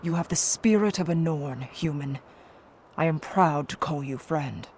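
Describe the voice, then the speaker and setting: An actress playing a proud Norn warrior woman in a fantasy video game, speaking gravely and formally. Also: low voice, a little slow, a little mellow, a little hiss or hum. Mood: solemn resolve.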